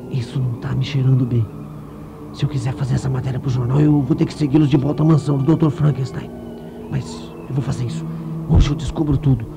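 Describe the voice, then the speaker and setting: An actor playing a determined, snooping newspaper reporter, talking conspiratorially to himself in a comic radio play. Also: male voice, medium pitch, creaky, lightly acted. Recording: radio play with music behind.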